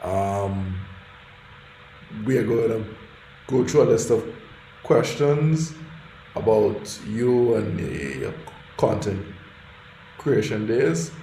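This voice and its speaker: A man hosting an online event, introducing speakers and interviewing a guest, with drawn-out speech full of fillers. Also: medium pitch, dark tone, slight background noise. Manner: drawn-out, filler-heavy delivery. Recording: echoey room.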